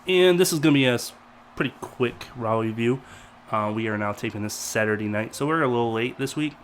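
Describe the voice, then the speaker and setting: A man's voice: medium pitch, brisk, a little hiss or hum. Stuffy-nosed man with a cold. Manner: rambling, loose and chatty. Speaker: co-host. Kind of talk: casual chat.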